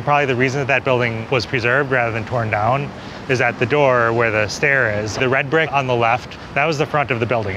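A man in his forties, an architect, giving an on-camera walking tour outdoors, explaining buildings in a conversational way with many small hedges. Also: medium pitch. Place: outdoors on a city street. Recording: city street noise behind.

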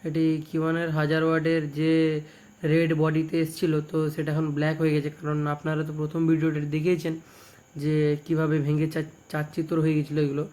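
A young man with a West Bengal Bengali accent, casually presenting repair work in a halting, repetitive way, phrase by phrase. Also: a little high, completely flat delivery, darkish tone. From West Bengal, India. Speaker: speaker repair technician and video presenter. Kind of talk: casual product showcase narration.

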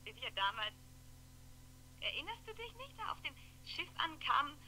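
Sharp, wry former lover. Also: female voice, high voice, sing-song, heavily acted. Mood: wistful reminiscing. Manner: teasing, deliberate. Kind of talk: 1960s German radio play acting. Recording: noisy 1960s radio, telephone sound.

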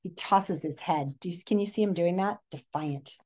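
A middle-aged woman with an American accent reads a picture book aloud, expressively, pausing to put questions to young listeners like a teacher. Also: lowish voice, a little mellow. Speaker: read-aloud presenter. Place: living room, indoors.

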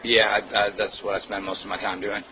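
A male musician chatting casually as an interview guest, relaxed and rambling, with lots of fillers. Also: on the low side, brisk, low-quality recording. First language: Indonesian. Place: music club.

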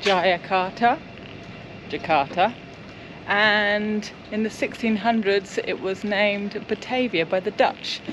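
Woman vlogging over loud outdoor noise. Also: medium pitch, takes it slowly. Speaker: travel vlogger. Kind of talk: casual travel commentary. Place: outdoors on streets and at marina. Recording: noisy outdoor recording with street and vehicle sounds.

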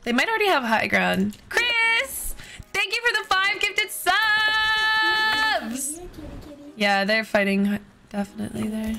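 A woman's voice: very high voice, lively intonation, takes it slowly, over music. A woman in her twenties chatting casually on a game livestream, recorded close on a streaming mic indoors. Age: 20s. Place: bedroom streaming setup indoors. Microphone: close boom-arm streaming mic with headset.

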